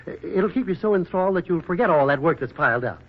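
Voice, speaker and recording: A man's voice: high voice, lively intonation, fast talker. An American actor playing a bashful, lovestruck young man in a 1940s radio drama, stammering and boyishly eager, on a muffled old recording.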